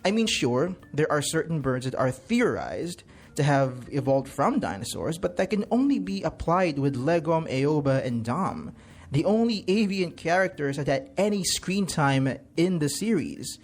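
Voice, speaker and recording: Man, guest commentator, slightly high pitch, very varied intonation, emphatic, opinionated. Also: big pitch swings, unhurried.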